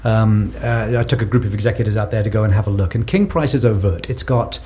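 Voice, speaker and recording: South african man, podcast guest, mellow tone, thoughtful, restarts his phrases. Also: on the low side, fast talker, slight background noise. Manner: thoughtful, repeats words while building a point. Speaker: guest researcher. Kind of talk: conversational podcast interview.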